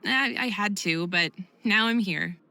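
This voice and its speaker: A woman voicing a supportive, reassuring friend in a visual novel game, encouraging and gently chiding. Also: medium pitch, a little slow, no echo. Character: supportive, reassuring female friend of young skater.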